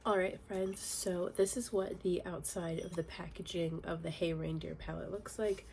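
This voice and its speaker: A woman's voice: on the low side, normal speed, vocal fry, slight background noise. A young woman with a congested, nasal voice from a cold, chatting casually in a makeup tutorial at home. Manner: chatty, relaxed, many fillers. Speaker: beauty vlogger. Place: home, indoors.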